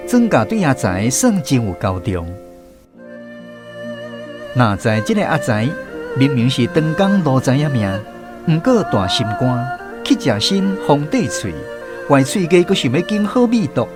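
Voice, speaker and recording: A male storyteller with a Taiwanese accent narrates a radio drama in a folksy, drawn-out way. His pitch moves in lively swings, and music plays clearly behind him. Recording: music bed under the voice. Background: background music.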